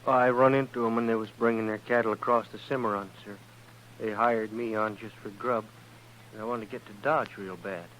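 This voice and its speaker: A meek, resigned drifter cowhand, acted by a man with a fairly low voice and rural Western drawl. He speaks hesitantly and with deference. Faint noise of an old radio drama surrounds him. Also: fairly even intonation, a little fast, fairly bright tone, natural voice. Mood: meek, evasive. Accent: American rural Western drawl. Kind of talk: 1950s radio western acting. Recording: noisy old radio recording.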